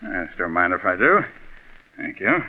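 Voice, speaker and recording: An actor playing a jovial, fawning sponsor in a 1940s radio mystery, effusive in manner. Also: male voice, low-pitched, huge pitch swings, measured pace, heavily acted. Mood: mildly flustered. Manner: effusive, chuckling, slightly hesitant. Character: eager, fawning, jovial businessman and programme sponsor. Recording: tinny vintage radio recording.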